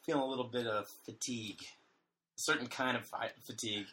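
A man with a hoarse voice, co-hosting a casual comedy podcast with wry, deadpan jokes. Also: mid-range voice, brisk, a little hiss or hum. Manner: wry, deadpan jokey delivery with frequent restarts. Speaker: podcast co-host.

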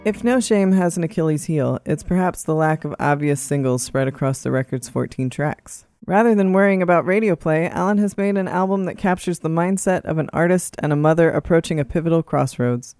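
A narrator reading a scripted album review in a measured, written style. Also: medium pitch, a little mellow, dry recording, studio quality, faint music behind.

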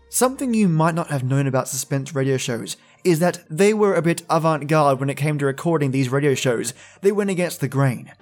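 A man hosting a podcast, reading scripted spooky storytelling narration in a theatrical way with dramatic pauses. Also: a little high.

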